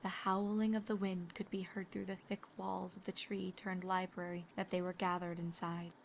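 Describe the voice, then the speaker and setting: A woman's voice: fairly low pitch, level tone, normal speed, gravelly, background noise. A woman reading scripted story narration. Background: music.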